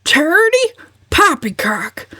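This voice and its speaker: A woman's voice: very high voice, sing-song, slow, bright, forceful tone, dry recording, clean studio sound, pitched above the performer's own voice, full character voice. Woman playing a blustering, snorting old uncle. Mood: indignant. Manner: snorting, indignant, emphatic.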